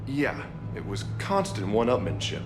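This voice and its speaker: An actor playing a chatty, wry party guest in a video game, telling things conversationally. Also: male voice, fairly low pitch, wildly swooping pitch, moderate pace. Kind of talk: cinematic video game dialogue. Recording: echoey room with party music.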